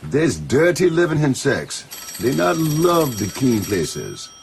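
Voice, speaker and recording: An actor playing a plain-spoken, deferential Jamaican islander in a radio drama, with a Jamaican accent and folksy dialect phrasing. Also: male voice, mid-range voice, wildly swooping pitch, takes it slowly, creaky, full character voice. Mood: matter-of-fact. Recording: radio drama with faint background noise.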